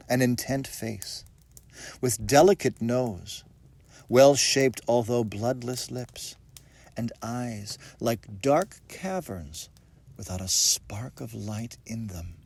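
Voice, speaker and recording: A man reading a ghost story as dramatic narration, with measured, theatrical phrasing and deliberate pauses. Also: mid-range voice, creaky. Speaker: audiobook reader.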